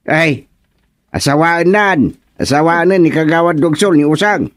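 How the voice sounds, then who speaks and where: Man acting a blunt, unhurried Ilocano village chairman. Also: on the high side, no room sound, clean studio sound, natural voice. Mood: resigned. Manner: blunt, questioning, muttering interjections. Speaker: actor in radio comedy drama. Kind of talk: Filipino radio drama comedy acting.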